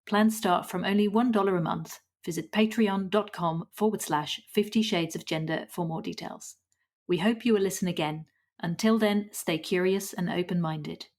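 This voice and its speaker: A woman podcast host with a somewhat low voice for a woman. She answers her guest softly and warmly, then shifts into a neat, clearly read outro. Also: fairly even intonation, normal speed. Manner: soft-spoken, affirming listener, then clear scripted sign-off. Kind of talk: interview and scripted outro.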